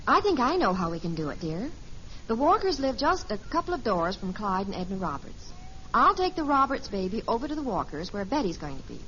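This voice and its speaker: Soothing American housewife, expressive intonation, vintage sitcom, muffled noisy recording. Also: female voice, medium pitch, a little fast. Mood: practical, reassuring. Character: practical, soothing suburban wife and mother. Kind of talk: 1950s radio sitcom acting.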